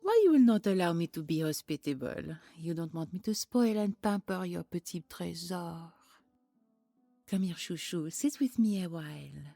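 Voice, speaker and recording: A mellow, lowish griffin queen played by a woman. She purrs slowly, regal and teasing, with sugary condescension, in a close, dry fantasy audio roleplay. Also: lively intonation, vocal fry, no room sound, soft background music. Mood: teasing, syrupy. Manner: purring, mock-sweet, condescending.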